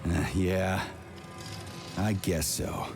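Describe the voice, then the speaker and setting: Blunt, low-voiced soldier. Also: male voice, steady tone, extremely slow, gravelly, music in the background, full character voice. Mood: scornful, irritated. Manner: blunt, sarcastic, swearing. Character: gruff, foul-mouthed, loyal Soviet special agent major. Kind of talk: video game voice acting.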